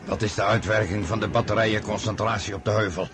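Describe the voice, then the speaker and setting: An actor playing a proud, weary and grandiose emperor in a radio drama, declaiming imperiously. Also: male voice, fairly even intonation, fast, lightly acted. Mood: impatient, commanding. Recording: radio play with loud battlefield rumble behind.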